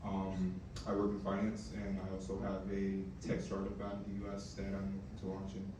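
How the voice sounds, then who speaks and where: A man's voice: low voice, completely flat delivery, fairly quick. A man from the United States introducing himself hesitantly. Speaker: audience member. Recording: echoey room from far off, background noise.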